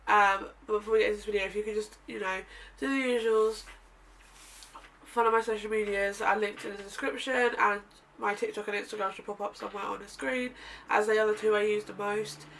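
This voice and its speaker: A woman's voice: medium pitch, steady tone, a little fast, fairly bright tone, slight background noise. A woman in her twenties, nasal and bunged up, chatting and rambling in a casual sit-down vlog. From United Kingdom. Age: 20s. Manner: rambling, chatty, self-correcting. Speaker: vlogger. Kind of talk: casual sit-down chat to camera. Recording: distant mic with faint room ambience.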